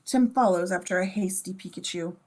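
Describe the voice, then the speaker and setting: A woman's voice: fairly low pitch, brisk, darkish tone. A woman reading scene descriptions as narrator in a table read of a script.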